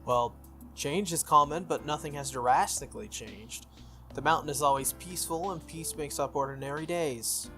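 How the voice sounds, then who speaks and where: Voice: medium pitch, normal speed, fairly bright tone, soft background music, close to an everyday voice. A game player voicing an earnest, stern teenage boy who is student council president, formal and scolding. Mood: stern, matter-of-fact.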